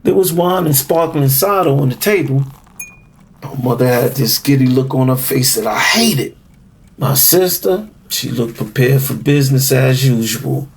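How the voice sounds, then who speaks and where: A male actor plays a grown son telling his own story in an audio drama. His mid-pitched voice has a husky edge, and he narrates in a wry, confiding way with simmering resentment. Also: unhurried. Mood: wry, uneasy. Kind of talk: first-person scripted audio drama narration.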